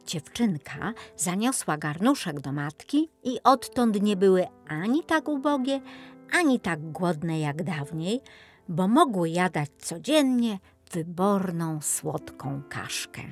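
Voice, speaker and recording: Female storyteller for children's audiobook, lowish voice, unhurried and expressive, light music underneath. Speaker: audiobook narrator. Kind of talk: scripted fairy tale narration for children.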